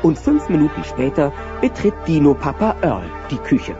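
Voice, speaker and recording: Animated, high-pitched male storyteller. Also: normal speed. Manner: expressive storytelling. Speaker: narrator. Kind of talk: scripted children's audio play narration. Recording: dull cassette transfer with music.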